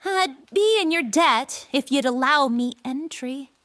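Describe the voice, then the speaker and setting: An actress plays a young fantasy noblewoman with a high, very melodic voice. She is earnest and courteous, speaking in a gentle, measured way for an audio drama. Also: lively intonation, no room sound.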